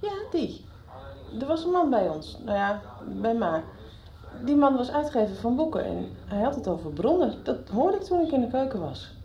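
A woman's voice: on the high side, sing-song, moderate pace, dark tone, muffled recording. A woman playing an inquisitive, loyal schoolgirl in a Dutch radio soap, asking insistently. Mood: curious. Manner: questioning, insistent. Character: inquisitive, loyal young schoolgirl, somebody's little sister. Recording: 1990s radio soap production.

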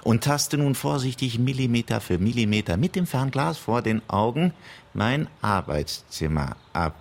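An actor playing a dreamy, hesitant, deliberate male poet in a comic radio play. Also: medium pitch, animated, vocal fry, slight background noise. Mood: hushed, observing. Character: dreamy, ponderous male poet, hesitant amateur fly observer.

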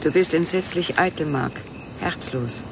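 Intense, low-voiced woman in radio drama. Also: a little slow, background noise. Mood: reproachful. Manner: dramatic, breathy whispers rising to anguished outcries. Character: Janet, intense, clinging widowed lover, wounded and pleading. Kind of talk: German radio crime drama acting, 1989.

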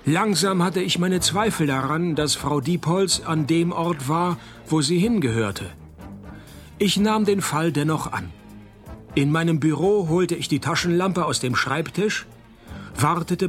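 Guy acting sardonic private eye from a radio play, deadpan, slightly high male voice. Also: unhurried, faint music behind, lightly acted. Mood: deadpan narrating. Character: laconic, wisecracking male private detective. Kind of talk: radio play narration and dialogue.

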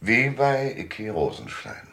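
An actor playing a wry, laconic private detective who narrates and talks in a German noir radio drama. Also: male voice, fairly low pitch, wildly swooping pitch, measured pace, gravelly, sharp tone, full character voice. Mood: defiant, mocking. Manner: laconic, sardonic. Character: hard-boiled, wry male private detective and first-person narrator.

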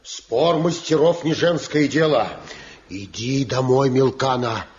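Stern, slow-speaking guild master played by a man. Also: mid-range voice, natural voice. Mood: stern, protective. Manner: commanding, measured. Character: authoritative master craftsman, stern upholder of guild honour. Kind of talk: theatrical Soviet radio play acting. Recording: muffled, noisy old radio drama recording.

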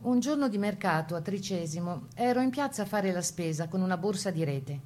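A woman's voice: medium pitch, lively intonation, a little fast, darkish tone, close to an everyday voice. An actress as a composed woman partisan recalling wartime. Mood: matter-of-fact. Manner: composed first-person storytelling. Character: plucky Italian woman partisan recalling wartime Resistance missions. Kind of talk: dramatized testimony reading for radio.

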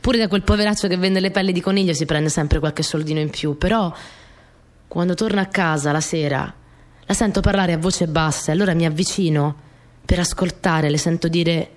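A woman's voice: lowish voice, steady tone, brisk, close to an everyday voice. An actress playing a young peasant girl in a radio monologue, telling her story in a naive, colloquial way, repeating phrases for emphasis. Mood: earnest, confiding. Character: young Italian peasant girl, earnest, plainspoken, stubborn storyteller. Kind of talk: dramatic monologue in a radio play. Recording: music behind the voice.

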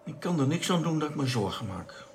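A man's voice: a little high, very animated, brisk, a little hiss or hum. A man acting a worrying, informal space crewman in a radio play. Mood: worried. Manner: informal, fretful. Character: Jimmy, chatty worrying male space crewman. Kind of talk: radio drama dialogue.

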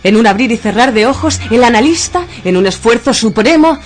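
A woman narrating a radio drama in a dramatic, literary and deliberate style. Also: a little high, lively intonation. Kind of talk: theatrical scripted narration of absurdist radio fiction. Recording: music bed under the voice.

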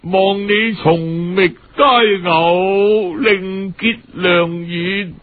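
A man's voice: high voice, fairly even intonation, phone-quality sound. A man voicing a despairing, remorseful husband's farewell letter in an audiobook, mournful and theatrical. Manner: slow, mournful, theatrical sighing delivery.

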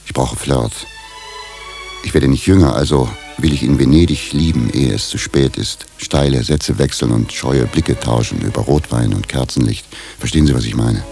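A restless, middle-aged professor hungry for adventure in a radio comedy. His voice is extremely low and gravelly. He confides and complains insistently, full of self-pity. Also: a little mellow. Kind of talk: comic radio drama acting. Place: radio drama studio.

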